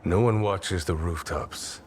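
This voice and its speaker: A grave, secretive rebel leader in a fantasy game. His voice sits very low, with faint music behind it. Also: takes it slowly. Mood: grave, measured. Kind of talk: video game voice acting.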